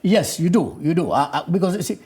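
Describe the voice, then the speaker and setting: A man's voice: a little high, expressive, fairly quick, warm tone, slightly rough. A man from Tanzania, a guest on a studio panel, talking thoughtfully with frequent restarts and repeated words. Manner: hesitant, restarts and repeats words while building a point.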